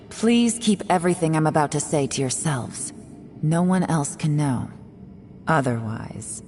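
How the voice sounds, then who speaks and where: A woman voicing a secretive, composed young woman agent in a video game, speaking in a measured, guarded way with heavy sighs. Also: deep voice, expressive, a little mellow, faint music behind. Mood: solemn.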